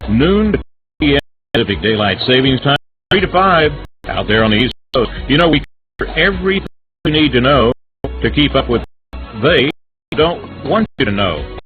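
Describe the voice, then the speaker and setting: A man's voice: medium pitch, sing-song, normal speed, pauses often, faint music behind. A man delivering a scripted radio promo for a talk show in a punchy announcer manner. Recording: narrow phone-like radio sound.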